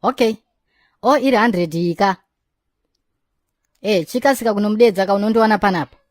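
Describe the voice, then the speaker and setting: A woman's voice: medium pitch, a little fast, darkish tone, no room sound, lightly acted. Brief: actress as swaggering young ladies' man, Zimbabwean accent, boastful chatter, dry close mic. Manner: bragging, mixing in English phrases. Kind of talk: cartoon voice acting.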